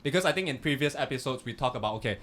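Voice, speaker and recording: A man's voice: mid-range voice, animated, very fast, a bit bright, a little hiss or hum. A young man hosting a podcast, chatty and loose in his phrasing, with frequent fillers. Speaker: podcast host. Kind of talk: casual podcast interview.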